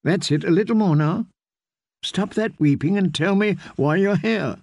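Dude doing a bossy queen voice, lifted up, sharp and cold. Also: sits high, moderate pace, creaky, dry recording, pitched above the performer's own voice. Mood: imperious. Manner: cutting, commanding. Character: imperious, scornful queen.

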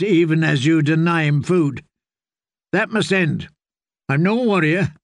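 A man acts out a cunning fantasy lord for an audiobook. The voice is raised in pitch and unhurried, with a creaky edge. He speaks formally and emphatically, cold and in command. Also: no room sound, studio quality. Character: cunning nobleman, coldly angry, commanding, smooth in bargaining. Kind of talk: dramatic audiobook character voice.

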